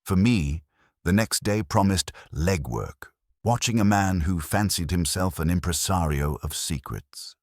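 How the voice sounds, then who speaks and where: Very deep-voiced man narrating detective fiction. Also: unhurried, dry recording, clean studio sound. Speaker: audiobook narrator. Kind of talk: first-person detective story narration.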